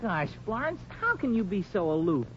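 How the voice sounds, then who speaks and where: Heartsick teen boy, melodramatic, animated swooping delivery, old radio comedy, muffled recording. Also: high voice, normal speed. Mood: heartbroken. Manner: plaintive, melodramatic. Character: melodramatic, lovesick, sulky teenage boy. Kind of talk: 1940s radio comedy acting. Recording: dull, noisy 1940s radio recording.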